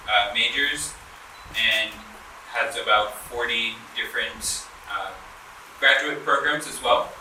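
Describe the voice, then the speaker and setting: A young man from the Southern United States with a Southern accent, giving a relaxed student presentation with plenty of filler "uh"s. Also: mid-range voice, a little hiss or hum. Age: 20s. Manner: relaxed, many filler uhs, loses his thread. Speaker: student speaker at an education fair. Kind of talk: presentation to an audience. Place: large event hall. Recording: echoey room from far off.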